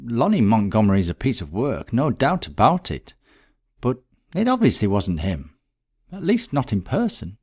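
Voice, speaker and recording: A man's voice: fairly low pitch, wildly swooping pitch, moderate pace, a little mellow, husky, exaggerated voice. A man voicing a distressed, bitter woman in a mystery story, talking in a dramatic, gossipy, emphatic way. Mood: scornful. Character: distressed, bitter middle-class woman recounting a friend's death.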